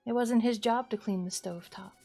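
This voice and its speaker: A woman streamer reading a horror visual novel aloud as expressive narration. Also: on the high side, fairly quick. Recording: music behind the voice. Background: music.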